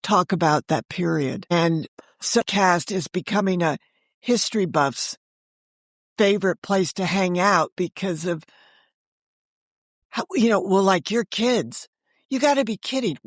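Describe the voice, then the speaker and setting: A woman's voice: mid-range voice, expressive, measured pace, no room sound, studio quality. American woman in her sixties, emphatic storyteller. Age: 60s. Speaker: guest, author and audio drama creator. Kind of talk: conversational storytelling in an interview.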